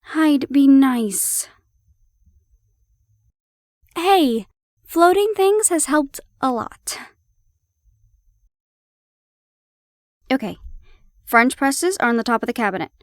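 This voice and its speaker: A woman acts a harried, loving young girlfriend in an audio roleplay. Her voice is high and expressive, with a teasing, coaxing way of talking. The recording is close and dry. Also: slow pace, pauses often, no room sound, heavily acted. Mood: coaxing, busy.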